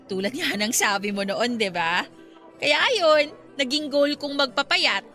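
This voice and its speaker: An actress playing a hopeful but easily hurt young woman in a Tagalog radio romance, expressive and pleading. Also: high-pitched, a little slow, faint music behind. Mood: earnest. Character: lovelorn young woman, newly slimmed, hopeful yet hurt.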